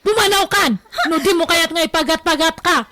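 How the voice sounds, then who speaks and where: An actress with an Ilocano Filipino accent playing a young, dutiful but fiery wife in a radio drama. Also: female voice, extremely high pitch, brisk, noisy background. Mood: furious.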